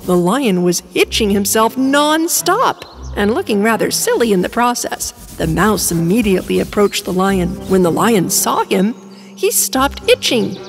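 A woman narrating an animated children's storybook in an expressive storytelling manner. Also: medium pitch, vocal fry.

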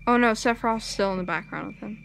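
A young woman giving casual, excitable live reaction commentary on game cutscenes, full of exclamations. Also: mid-range voice, fast, gravelly. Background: game cutscene music.

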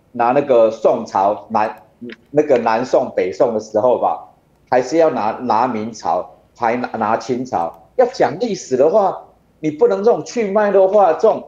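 A man's voice: mid-range voice, dark tone. A man of about forty with a Taiwanese accent, giving emphatic political commentary full of rhetorical questions. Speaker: city councillor, guest commentator. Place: indoor room on a video call. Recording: dull, roomy video-call audio.

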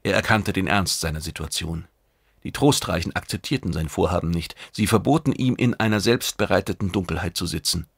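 Gravelly low-voiced male audiobook narrator. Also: fairly quick, dry recording, clean studio sound. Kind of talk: dramatic scripted narration of science fiction.

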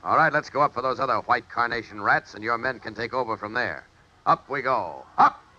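An actor playing a confident, brisk superhero in a 1940s radio serial. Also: male voice, mid-range voice, expressive, background noise, natural voice. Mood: commanding. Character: confident male superhero posing as a mild reporter. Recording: dull old radio recording.

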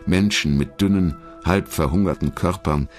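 A man reading a scripted biographical documentary narration in a measured, polished storytelling manner. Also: low-pitched, faint music behind.

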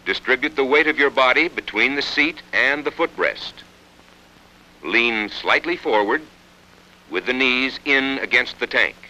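An American man reading scripted instructional narration for a training film in a measured, instructive manner. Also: mid-range voice, animated, bright, forceful tone, low-quality recording. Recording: 1960s film soundtrack, faint noise.

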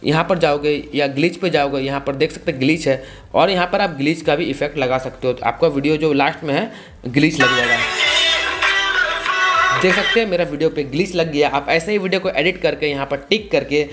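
A man's voice: on the high side, a little fast, over loud music. A man, giving a casual, repetitive step-by-step tutorial. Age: 20s. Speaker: tutorial host. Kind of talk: casual video-editing tutorial.

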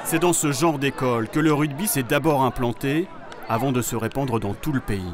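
A man's voice: mid-range voice, brisk, a crowd in the background. A man reading scripted documentary narration as the narrator. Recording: music behind the voice-over. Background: music.

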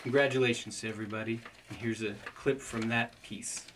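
A man's voice: medium pitch, fairly even intonation, a little fast, recorded from a distance, noisy background. A man in his fifties presenting awards, reading out nominees in a scripted presentation. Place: indoor studio with curtain backdrop.

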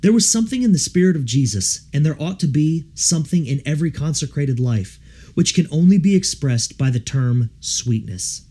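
A man reading a devotional audiobook in an earnest, measured, formal way. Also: a little high, expressive, dark tone. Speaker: audiobook reader. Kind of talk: scripted audiobook narration of a devotional text.

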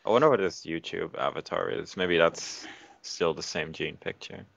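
A man from the Netherlands chatting casually and haltingly, on a slightly dull recording. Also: sits low, vocal fry, fairly bright tone, no echo. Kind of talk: casual chat.

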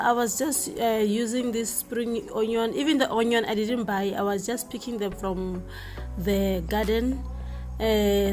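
A woman chatting casually and hesitantly while she cooks in her home kitchen, with many fillers as she talks to viewers. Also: a little high. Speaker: vlogger cooking for her family. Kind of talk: casual cook-with-me narration.

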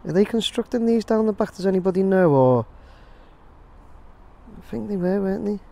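A man's voice: high voice, expressive, normal speed, creaky, mellow. A man with an English accent casually narrating his drone footage outdoors, rambling and chatty. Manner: rambling, chatty, addresses viewers as guys. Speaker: drone videographer. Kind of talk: casual vlog commentary. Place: outdoors near a construction site.

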